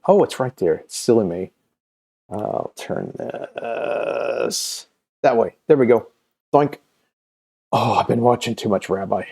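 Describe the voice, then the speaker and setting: A man presenting casually and unscripted as a comics video host, halting and often searching for his words. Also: fairly low pitch, creaky, darkish tone. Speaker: comics video host and creator promoting his own campaign.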